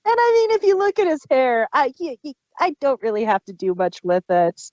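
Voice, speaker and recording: A woman's voice: sits high, huge pitch swings, moderate pace, a little mellow, no room sound, lo-fi sound. A woman co-hosting a podcast, talking casually as a fan in discussion. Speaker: podcast co-host.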